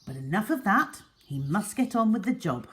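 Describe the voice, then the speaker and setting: A woman storyteller reading a children's story aloud in an expressive, deliberate and emphatic way. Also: medium pitch.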